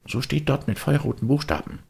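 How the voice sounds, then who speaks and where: A man's voice: mid-range voice, a little fast, gravelly, darkish tone, breathy edge, pitched above the performer's own voice, full character voice. A man voicing a shrewd, mocking street boy who teases scornfully in a children's storybook. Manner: teasing, scornful.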